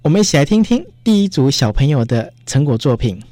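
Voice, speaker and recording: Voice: medium pitch, sing-song, a little fast, dark tone, no echo, faint music behind. A radio host with a Taiwanese Mandarin accent, introducing recorded segments.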